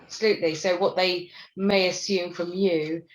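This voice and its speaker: A woman from London with a London accent, a music therapist, thinking aloud in a reflective conversation and often letting her words trail off quietly. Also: on the low side, fairly even intonation, moderate pace, low-quality recording. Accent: London English. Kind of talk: conversational discussion.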